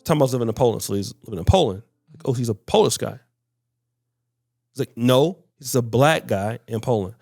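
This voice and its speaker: A man's voice: mid-range voice, expressive, fairly quick, creaky, darkish tone, dry recording, clean studio sound, faint music behind. A man hosting a podcast discussion, often stumbling and repeating words as he searches for his phrasing. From United States. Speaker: podcast host.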